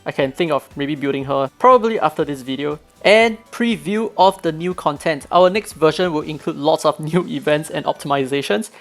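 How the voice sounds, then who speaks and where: A hoarse man reading out game patch notes, then breaking in with his own eager opinions, as a gaming video creator. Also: a little high, normal speed, hardly any pauses, soft background music.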